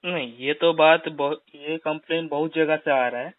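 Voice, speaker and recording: Male caller, slightly high voice, probing interviewer manner, dull dry phone recording. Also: steady tone, a little slow, no room sound. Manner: probing, asks follow-up questions. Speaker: caller questioning a recovery agent. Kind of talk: phone conversation, questioning.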